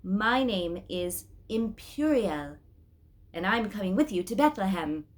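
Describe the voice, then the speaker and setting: Lady reading as a little boy angel from a storybook, excitable and proud, really animated. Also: medium pitch, unhurried, lightly acted. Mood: delighted, proud. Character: small excitable child angel, boyish and proud.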